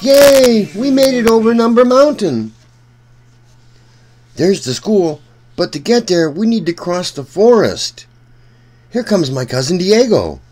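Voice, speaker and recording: A man reading a storybook aloud for children in an animated, deliberate way, speaking directly to young listeners. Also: high-pitched.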